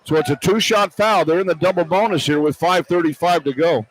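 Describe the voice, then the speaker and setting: A man calling live basketball play-by-play on the radio, excitable and partisan, in clipped running descriptions. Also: a little high, a little fast, low-quality recording. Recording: arena noise behind broadcast commentary. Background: arena crowd ambience.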